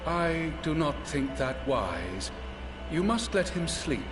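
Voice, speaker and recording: A man's voice: fairly high pitch, very animated, slow pace. An actor playing a grave, cautious male caretaker in a video game, speaking formally. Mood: grave, firm. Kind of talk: dramatic video game voice acting. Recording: echoing voice over music.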